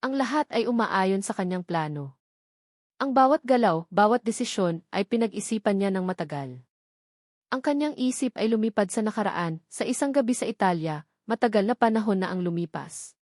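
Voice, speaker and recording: A woman's voice: mid-range voice, animated, normal speed, darkish tone, no room sound, studio quality. A woman with a Filipino accent reading a scripted romantic drama as a story narrator.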